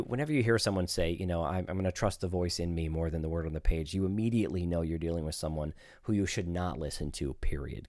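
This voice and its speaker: A man in his forties with a low voice explains scripture informally, as a host speaking to camera. He is conversational and opinionated. The sound is dry and close, from a studio mic in a small room. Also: a little mellow, no room sound. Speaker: host, Bible teacher. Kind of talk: informal Bible teaching to camera. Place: small home studio, indoors. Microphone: close studio microphone on desk.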